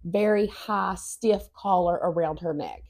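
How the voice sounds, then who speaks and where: A woman's voice: lowish voice, measured pace, darkish tone. A woman, co-hosting a podcast in earnest, rambling casual chat. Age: 40s. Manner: earnest, rambling, stops and restarts. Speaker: podcast co-host.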